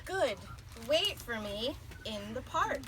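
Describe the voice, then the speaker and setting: A woman voicing an earnest, quick-witted young girl in a storybook reading. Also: high-pitched, big pitch swings, a loud crowd, exaggerated voice. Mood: bold, urgent. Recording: noisy live room.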